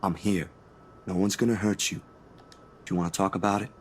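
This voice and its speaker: A man acting a protective, steady character in a horror visual novel, soothing and measured in short phrases. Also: low voice, slight background noise, natural voice. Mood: gentle, concerned. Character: protective, steady man calming a frightened woman.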